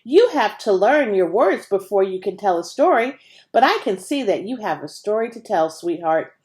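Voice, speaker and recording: An encouraging storybook mother , voiced by a woman narrator, brisk and affectionate as a piano teacher. Her mid-pitched voice rises and falls with lots of animation. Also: big pitch swings, darkish tone, close to an everyday voice. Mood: warm, encouraging.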